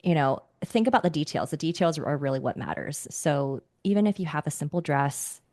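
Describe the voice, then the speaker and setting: A woman's voice: sits low, animated, brisk, a little mellow, no room sound, clean studio sound. A woman who works as a style coach, a guest on a podcast, giving earnest advice and laughing between points. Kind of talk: casual podcast interview.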